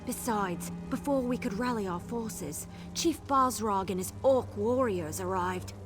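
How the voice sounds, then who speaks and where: A woman's voice: mid-range voice, unhurried, natural voice. An actress playing a brash, flippant female caravan guard in a fantasy video game, chatty and sardonic. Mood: urgent, sardonic. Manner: sardonic, colorful storytelling. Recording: game music behind the voice.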